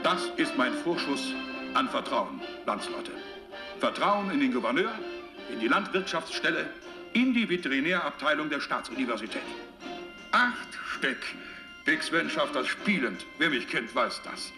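An actor playing a big, boastful American burger boss making a folksy, pompous sales address in a radio drama. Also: male voice, fairly high pitch, sing-song, a little slow. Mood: boastful, rousing. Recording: echoey taped local radio spot with music. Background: music.

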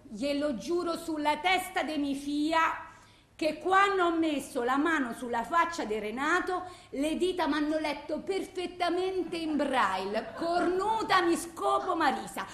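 High-pitched, distraught Roman wife. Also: female voice, a bit bright. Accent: Roman Italian. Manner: agitated, rambling, colloquial, self-justifying with emphatic repetitions. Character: distraught, volatile blind wife pleading with her lawyer. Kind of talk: theatrical radio monologue, framed as phone messages. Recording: roomy, echoing sound.

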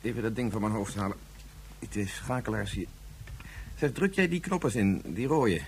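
A man's voice: on the low side, lively intonation, moderate pace, slight background noise. A man in a radio drama playing a preoccupied father who explains things in formal, reasoned language. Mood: distracted.

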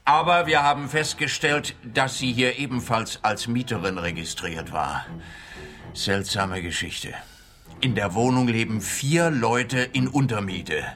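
Man playing a bright-voiced, sardonic policeman. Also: mid-range voice, measured pace, faint music behind, close to an everyday voice. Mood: puzzled, businesslike. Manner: sardonic, needling. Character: exasperated, sarcastic male police detective. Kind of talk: radio play acting.

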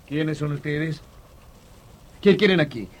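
An actor playing an anguished doctor confessing a killing, halting and theatrical, swinging from murmurs to outcries in old radio horror style. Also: male voice, a little high. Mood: startled. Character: anguished doctor, brother who confesses killing his vampire wife. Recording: noisy old radio drama recording.

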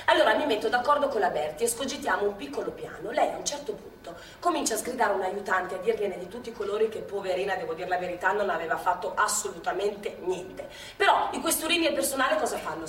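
A woman's voice: mid-range voice, lightly acted. An actress playing an elderly former partisan woman, acting out her wartime memories in a stage monologue. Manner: animated, chatty, acts out past scenes. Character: elderly woman, former partisan hospital helper, lively storyteller. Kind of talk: stage monologue in a radio play. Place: theatre stage. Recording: echoey stage from far off, faint hum.

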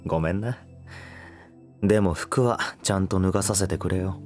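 A man acting a tall young lover in a Japanese voice drama, speaking casually, softly and intimately, devoted and a little pushy. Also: low voice, normal speed, soft background music, close to an everyday voice. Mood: teasing, coaxing. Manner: casual masculine speech, soft and intimate.

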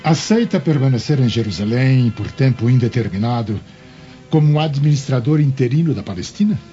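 A Brazilian man playing a smooth-talking Roman governor in a theatrical radio drama. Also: mid-range voice, lively intonation, moderate pace, lightly acted. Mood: probing. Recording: dull lo-fi audio with faint music.

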